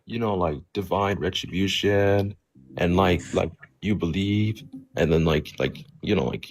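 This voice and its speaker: Low-voiced man, halting casual debater. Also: slight background noise. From Somali background. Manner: halting, heavy use of "like" and "you know". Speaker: debate participant.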